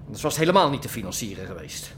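An actor playing a gruff, grumbling businessman in a naturalistic radio drama, cursing and exasperated. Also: fairly low pitch, very animated, racing, slight background noise. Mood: worried, grumbling.

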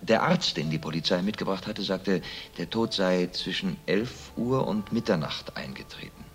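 A deep-voiced, reserved gentleman acted by a man in a radio crime play, the well-to-do son of a murdered father. He speaks soberly and with measured, subdued matter-of-factness. Faint music sits behind him. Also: lightly acted. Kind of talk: German radio crime drama dialogue.

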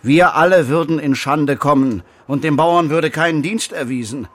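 A man's voice: on the high side, moderate pace, a bit bright. An actor playing a stern, suspicious man in a radio play, questioning in a severe, probing manner with formal diction. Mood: reproachful. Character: stern, suspicious man interrogating a young relative. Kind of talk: German radio play acting.

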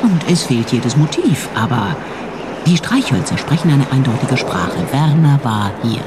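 A man playing a brisk, self-assured amateur sleuth in a comic radio crime drama. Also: mid-range voice, sing-song, loud music behind the voice. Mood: matter-of-fact.

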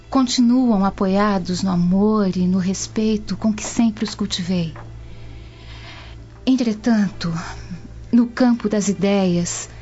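A woman acts a serene young Roman believer with a Brazilian accent. She speaks formally and earnestly in a warm, mellow tone. It is a slightly dull radio drama recording with music behind. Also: medium pitch, close to an everyday voice.

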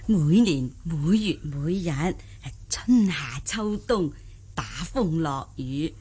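A woman's voice: low voice, animated, takes it slowly, mellow, slightly rough, heavily acted. A woman playing a jolly, garrulous old peasant granny in a Cantonese radio drama, folksy and full of exclamations, with a Hong Kong accent. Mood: modest, folksy. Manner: folksy, exclaiming, chuckling, self-deprecating.

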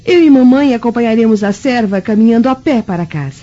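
High-voiced, proud young Roman noblewoman. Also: animated, fast talker, warm tone, highs cut off. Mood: indignant. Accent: Brazilian. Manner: assertive, eloquent. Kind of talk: radio drama acting.

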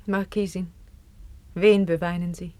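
A woman's voice: on the low side, flat delivery, a little slow, close to an everyday voice. An actress playing a noble, dignified young queen in a classical verse radio play of the 1950s, speaking solemnly and declaiming. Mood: wounded dignity. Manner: declamatory, hushed and breathy, solemn. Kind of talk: 1950s German stage-style radio acting of classical verse.

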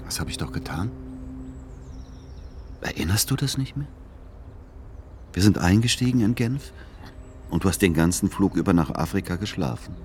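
A middle-aged man playing a patient, weary son, narrating and talking in a measured, understated way in a radio play. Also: low-pitched, darkish tone. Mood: gently reassuring. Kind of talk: first-person radio play narration and dialogue. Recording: clear vehicle noise. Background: vehicle ambience.

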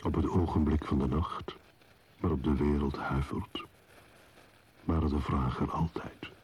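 An actor playing a solitary, brooding male wanderer who narrates in a solemn, measured, poetic radio play style. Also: very deep voice, fairly even intonation, a little mellow, breathy edge, heavily acted.

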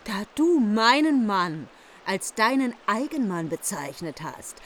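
A woman's voice: medium pitch, sing-song, slow, slight background noise. A woman playing a proud, challenging royal wife in a radio play, speaking formally. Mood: defiant, threatening.

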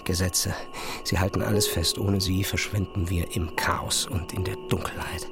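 An actor playing an obsessed, haunted physicist, thinking aloud in an intense, fragmented, brooding inner monologue for an audio drama. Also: male voice, low-pitched, little pitch movement, normal speed, over loud music. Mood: brooding, feverish.

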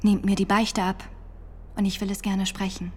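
A woman's voice: mid-range voice, flat delivery, moderate pace, darkish tone, husky, lightly acted. An actress in a radio drama playing a devout, defiant nineteen-year-old peasant woman on trial, steadfast and plain-spoken in manner. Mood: quietly defiant.

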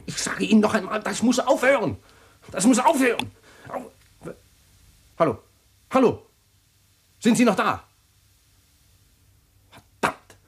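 A rattled husband with a lively, swinging voice, smooth with friends and sharp when cornered. He talks his way around things, in an old radio play. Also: high-pitched, unhurried, pauses often, heavily acted. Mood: furious. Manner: smooth, then flustered and shouting on the phone. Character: husband with a secret, defensive and rattled.

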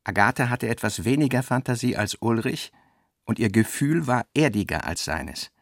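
A man reading scripted literary narration for a radio production, with a creaky voice in a measured, reflective manner. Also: medium pitch, expressive, dry recording, clean studio sound.

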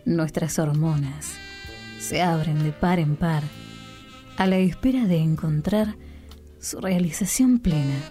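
A woman's voice: deep voice, takes it slowly, warm tone. A woman reading a scripted, sensual intro for a late-night radio segment. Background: music bed.

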